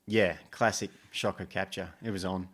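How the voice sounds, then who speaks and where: A man's voice: low-pitched, normal speed. An Australian man in his fifties, a former soldier, chatting in an interview with frequent ums and restarts. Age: 50s. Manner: relaxed, many ums and restarts. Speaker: guest, former special forces soldier. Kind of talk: casual interview. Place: living room at home.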